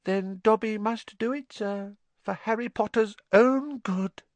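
A man's voice: a little slow, no room sound. A man voicing a small anxious male house-elf who pleads and speaks of himself in the third person. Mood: desperate. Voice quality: very high, squeaky.

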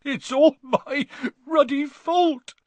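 A man voicing a huge, soft-hearted gamekeeper in an audiobook, gruff and rustic in manner, blurting words through tears of remorse. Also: very high-pitched, slow pace, dry recording, pitched above the performer's own voice. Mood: sobbing, remorseful. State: crying.

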